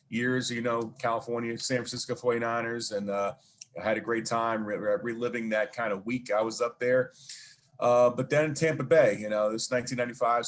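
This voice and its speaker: A man with a Brooklyn accent, a guest telling long stories in an interview, rambling with many fillers and asides. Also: mid-range voice. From New York. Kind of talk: casual storytelling interview.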